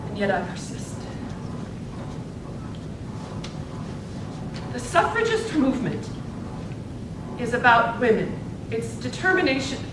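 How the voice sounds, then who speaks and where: An earnest, principled old suffragist of eighty-six played by a woman on stage, speaking deliberately in a slightly high voice. The theatrical delivery is heard from a distance in a reverberant room, with clear noise behind it. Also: very animated. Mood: impassioned, oratorical. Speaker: actor in a theatre presentation. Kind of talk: theatrical interview and monologue, live stage performance. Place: indoor presentation space at a public library. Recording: echoey, distant, noisy library event recording.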